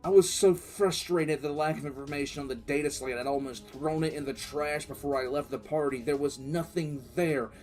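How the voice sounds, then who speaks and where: A man's voice: mid-range voice, darkish tone, natural voice. A man playing a tense, frustrated military commissar telling his war story as dramatic first-person narration in an audio drama. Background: background music.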